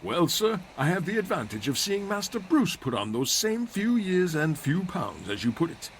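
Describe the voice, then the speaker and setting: A polite old manservant played by a male actor in a superhero audio drama. The voice sits a little high and moves up and down expressively, formal and deferential with dry humour, over faint rainy ambience. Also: big pitch swings, normal speed, a little hiss or hum. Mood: polite, reserved. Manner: formal, polite, drily witty. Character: dry-witted, deferential elderly male butler.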